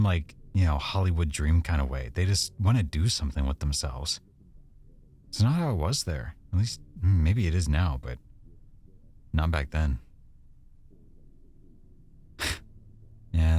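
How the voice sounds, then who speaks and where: A man playing an affectionate, easygoing boyfriend in an intimate roleplay, speaking casually and softly with hesitant pauses. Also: rumbling low, expressive. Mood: reflective, tender. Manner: soft-spoken, casual, hesitant pauses and trailing thoughts. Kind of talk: boyfriend roleplay ASMR, one-sided intimate conversation.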